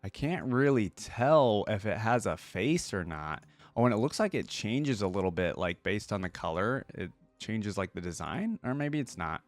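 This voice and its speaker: A man's voice: fairly low pitch, expressive, moderate pace, dry recording, faint music behind. A man hosting a reaction video, casually thinking aloud and reading bits of text out with conversational commentary.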